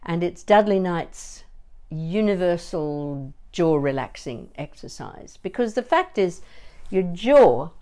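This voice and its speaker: An older woman giving a conversational demonstration as a voice coach, deliberate and emphatic, pausing between short phrases. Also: fairly low pitch, expressive, warm tone.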